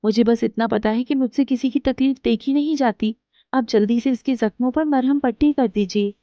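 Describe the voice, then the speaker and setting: A woman's voice: fairly high pitch, moderate pace, mellow, no echo, dull audio, natural voice. A woman voicing a poor, honest, kind-hearted young woman who speaks earnestly and emotionally.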